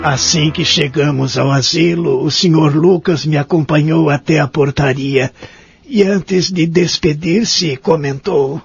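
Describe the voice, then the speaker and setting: Creaky higher-pitched old man, played by an actor, lonely and remorseful, audio drama, soft music behind. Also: steady tone, unhurried, lo-fi sound, full character voice. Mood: narrating. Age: older. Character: lonely, remorseful elderly man in a care home. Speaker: narrator and lead character of audio drama. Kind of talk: audio soap opera narration and acting.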